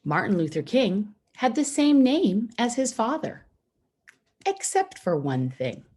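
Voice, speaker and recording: Slow, expressive woman reading a storybook. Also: low voice, slightly rough. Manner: deliberate, simple phrasing with pauses for young listeners. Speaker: storybook reader for schoolchildren. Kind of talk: read-aloud children's picture book narration.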